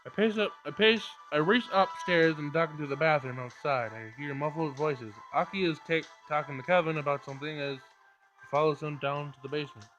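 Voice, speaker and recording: A man's voice: fairly high pitch, a little fast, fairly bright tone, highs cut off. A man reading visual novel narration aloud as he plays, stumbling over words now and then. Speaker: gameplay streamer reading aloud. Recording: game music behind. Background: game music.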